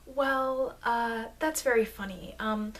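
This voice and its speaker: A woman's voice: a little high, steady tone, takes it slowly, fairly bright tone. Flustered, friendly vampire girl played by a woman, noisy recording. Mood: excited, chatty. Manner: awkward, hesitant, rambling, chatty. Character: friendly, flustered, eager vampire girl, thousands of years old. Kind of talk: ASMR situational roleplay. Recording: noisy amateur microphone, background hiss.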